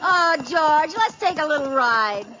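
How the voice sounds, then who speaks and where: A woman with an American accent playing an eager, scheming, playful housewife in a 1940s radio comedy, excitable and wheedling. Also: high voice, measured pace, muffled recording. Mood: coaxing. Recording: noisy vintage radio recording, live studio audience.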